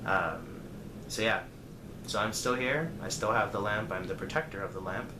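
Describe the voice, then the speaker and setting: A man with a lowish voice tells a casual story to his viewers, animated when he retells conversations. The recording is roomy and echoey, with clear background noise behind him. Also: normal speed. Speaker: storyteller vlogger.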